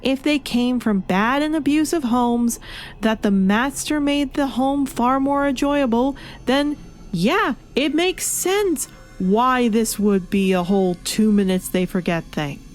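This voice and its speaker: A woman's voice: a little high, animated, a little slow, soft background music. A woman reviewer delivering scripted video essay commentary in an opinionated, argumentative way. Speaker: comic book reviewer.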